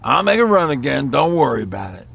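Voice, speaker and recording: Casting: old folksy mechanic, heavy gravel and rasp, easygoing game-drama survivor. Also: male voice, mid-range voice, big pitch swings, fast, a bit bright, exaggerated voice. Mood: wry. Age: older. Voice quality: gravelly, husky. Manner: folksy, reminiscing. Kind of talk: game voice acting, conversational.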